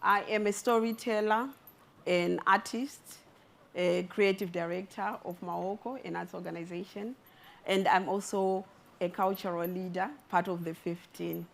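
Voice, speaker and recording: A middle-aged woman from Zimbabwe, an artist giving a talk with a spoken-word poem, delivered in a slow, rhythmic, measured way. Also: mid-range voice, fairly even intonation, gravelly. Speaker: artist and speaker.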